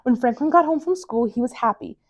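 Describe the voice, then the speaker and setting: A woman reading a children's picture book aloud as a library storytime reader, with expressive storytelling. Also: high-pitched, brisk.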